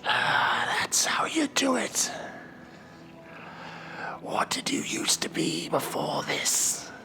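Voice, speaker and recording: A man voicing an ancient, weary demon judge of hell in a theatrical, breathy whisper for an ASMR role-play. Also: on the high side, pushed and bright, full character voice. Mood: delighted, proud. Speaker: performer of a horror role-play.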